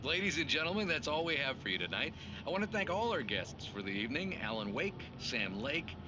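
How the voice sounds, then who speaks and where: An actor playing an upbeat, showy late-night talk show host in a video game, sounding like an emcee heard from a television. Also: male voice, a little high, very animated, fairly quick, noisy background.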